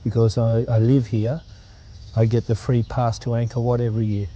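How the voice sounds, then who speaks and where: Earnest middle-aged Australian man, dark voice. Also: medium pitch, flat delivery, dull audio. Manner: earnest, reflective, unhurried. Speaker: guest. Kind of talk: interview answers.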